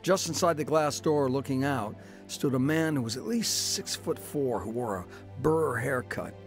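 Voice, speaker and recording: A man's voice: medium pitch, animated, normal speed, soft background music, natural voice. An actor playing a down-on-his-luck man who narrates his own story in a radio drama. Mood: reflective.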